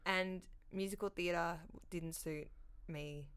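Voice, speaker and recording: An Australian woman in her thirties with a smooth, resonant, slightly low voice chats as a podcast guest. She pokes fun at herself and talks easily, close to a studio mic. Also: steady tone, slow, a bit bright. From Sydney, Australia. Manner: self-deprecating, laughs at own jokes. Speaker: comedian guest. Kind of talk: casual interview chat. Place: dark studio set, seated in armchair. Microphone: studio condenser mic on stand.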